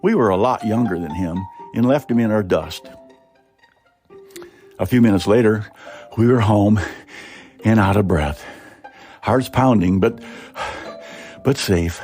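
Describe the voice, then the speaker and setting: A man's voice: lowish voice, a little slow, long pauses, soft background music. A man reading his own short story aloud in a dramatic, suspenseful first-person narration. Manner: expressive, suspenseful.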